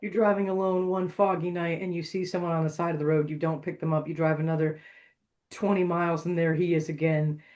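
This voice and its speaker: An easygoing podcast co-host with a middle-pitched voice. The delivery is casual and conversational, with a gently teasing way of correcting and adding details. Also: little pitch movement, a little fast.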